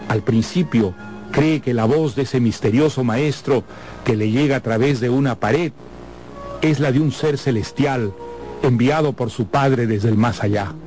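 A man's voice: mid-range voice, darkish tone. Formal, erudite male literary presenter. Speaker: presenter introducing a classic novel. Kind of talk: scripted literary introduction. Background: background music.

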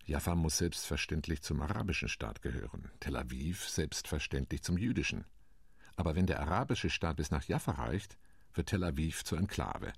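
An actor playing a calm, tactical delegate on a partition committee, speaking in a radio play monologue. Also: male voice, rumbling low, normal speed, vocal fry, husky, dry recording, heavily acted. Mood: measured.

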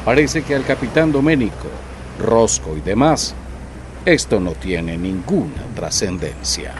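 A man's voice: very animated, unhurried, background noise. A man introducing an audio drama episode in a suspenseful, theatrical scripted style. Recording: music and sound effects behind voice. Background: music, rumbling effects.

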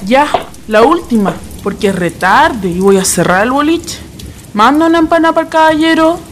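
A woman's voice: high-pitched, animated, moderate pace, a little hiss or hum. A woman voicing a brusque tavern keeper in a radio drama. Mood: bossy.

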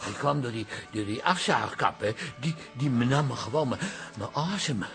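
A man's voice: medium pitch, lively intonation, normal speed, a bit bright, faint music behind. An actor from the Netherlands playing a fretful middle-aged father and smoker in a radio play, stammering and flustered, with a Dutch accent. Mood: groggy. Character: fretful smoking father, easily panicked, hapless cafe regular.

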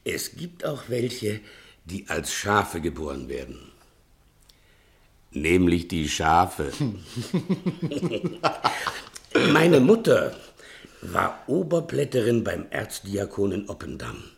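An actor playing a fat, self-pitying servant who fancies himself a playwright, melodramatic and wheedling, in a 1960s German radio play. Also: male voice, deep voice, sing-song, slow pace, gravelly, full character voice. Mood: wry storytelling. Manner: melodramatic, wheedling, swinging from hushed to declaiming.

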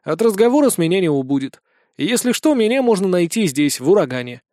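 Man playing a high-voiced cocky young hero. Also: big pitch swings, fast talker, dark tone, dry recording, studio quality. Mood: resigned. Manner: casual, firm, defiant. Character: cocky, stubborn, plain-spoken young male fantasy hero.

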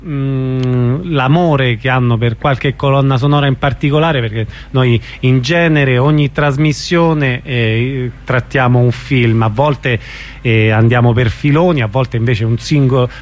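A man hosting a radio show, asking a guest questions in a conversational interview style. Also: mid-range voice. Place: radio studio.

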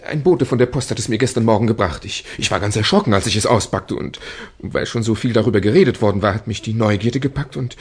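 An actor playing a nervous manservant in a German radio drama, stammering and hesitant, easily flustered and apologetic. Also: male voice, lowish voice, fast talker, few pauses, darkish tone, slightly rough. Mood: nervous, pleading. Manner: stammering, hesitant, repeating words.

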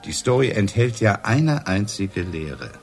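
An actor playing a hard-drinking, sardonic police inspector in a radio crime drama, accusing and probing with sarcasm and theatrical pauses. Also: male voice, lowish voice, sing-song, soft background music. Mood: probing, dramatic.

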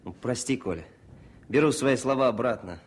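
An actor playing an eager, warm young army journalist in a Soviet radio drama, with music behind him. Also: male voice, mid-range voice, normal speed, close to an everyday voice. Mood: jovial, hearty.

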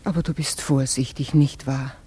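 A woman's voice: a bass voice, fairly even intonation, moderate pace, warm tone, breathy edge, full character voice. An actress playing an anxious older mother who frets and pleads with her son. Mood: worried. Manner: fretful, pleading.

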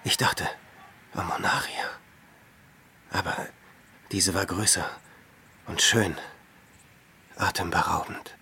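An actor playing a tormented, self-pitying ascetic hermit in a radio drama, anguished and swinging between whispers and cries. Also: male voice, low-pitched, steady tone, slow pace, creaky, pauses often, bright, forceful tone, exaggerated voice. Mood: haunted, rapt.

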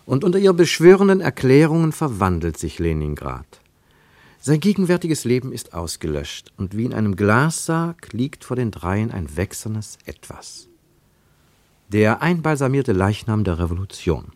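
An actor playing the wry, observant narrator of a literary radio drama, with measured, pointed phrasing. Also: male voice, mid-range voice, big pitch swings, a little mellow, no room sound. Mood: ironic, emphatic. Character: wry, observant male narrator of a travel story.

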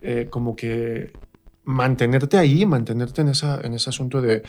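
A man with a Mexican accent and a mid-range voice speaks as an interview guest. His delivery is colloquial and reflective, like someone thinking through ideas in a relaxed chat. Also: mid-range voice, moderate pace. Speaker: interview guest, stage actor. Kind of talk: casual interview conversation.

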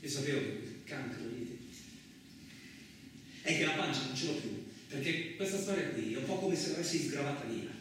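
An actor playing a rough, rambling male truck driver in a colloquial radio theatre monologue, with an earthy, agitated manner. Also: on the high side, lively intonation, normal speed, a bit bright. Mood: bitter, confiding. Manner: earthy, agitated, rhetorical tags, swearing. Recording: echoey distant room, faint noise.

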